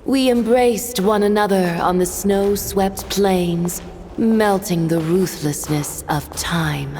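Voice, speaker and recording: A woman's voice: mid-range voice, slow pace, darkish tone, slight background noise. A woman playing an aloof, melancholy woman of authority in a game, restrained and reflective in manner. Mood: poetic, mournful. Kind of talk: dramatic game cutscene acting. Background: music.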